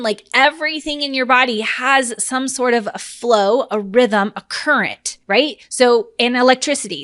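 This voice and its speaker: A woman podcast host and coach, talking straight to the listener with passionate emphasis and a slightly hoarse voice. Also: a little high, a bit bright, dry recording, clean studio sound. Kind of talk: energetic motivational podcast talk.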